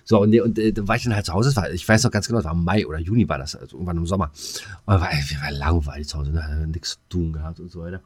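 A middle-aged man talks in a low register, recorded dry and close. He chats like a podcast co-host, rambling along in colloquial, matey fashion. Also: rapid-fire, hardly any pauses, no room sound. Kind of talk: casual chat.

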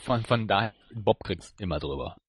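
A man with a slightly low voice co-hosts a podcast. He explains and reviews in a casual, chatty way, recorded dry with no room sound. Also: animated, normal speed, husky, no echo. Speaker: podcast co-host.

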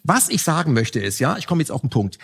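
Male panel guest, quick and emphatic, rough-edged voice, big reverberant room. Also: on the high side, sing-song, fairly bright tone. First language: German. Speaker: talk show guest. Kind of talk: opinionated panel discussion. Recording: reverberant hall.

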